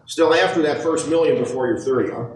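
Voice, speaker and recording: A man acting a gentle, weary, idealistic small-town father in an amateur radio play, with a measured, earnest manner. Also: on the high side, close to an everyday voice. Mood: gently hopeful. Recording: roomy, distant mic, background noise.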